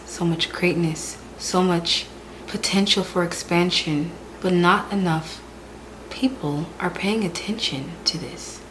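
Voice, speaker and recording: Hoarse, stuffy woman reading tarot. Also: on the low side, noisy background. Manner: calm, repetitive, soft-spoken delivery. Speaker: tarot reader.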